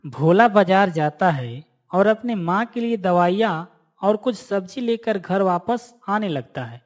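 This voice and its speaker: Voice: mid-range voice, lively intonation, unhurried. A narrator reading a scripted moral story for a cartoon in a storytelling style.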